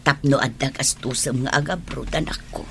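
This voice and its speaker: A woman acting in a Filipino radio drama as a loving, worried older mother with a husky voice and Ilocano accent. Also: very deep voice, a little fast, a bit bright, slight background noise, heavily acted. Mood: worried, resigned.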